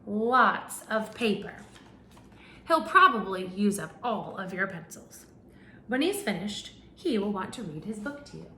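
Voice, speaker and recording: A woman's voice: mid-range voice, a little slow. A woman teacher reading a picture book aloud to young children, asking them questions along the way. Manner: animated storytelling with questions to children. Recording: echoey room with faint hum.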